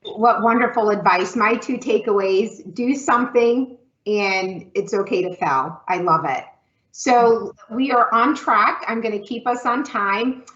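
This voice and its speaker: A woman hosting and moderating a live pitch event over an online call. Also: a bit bright. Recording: echoey room, dull call audio.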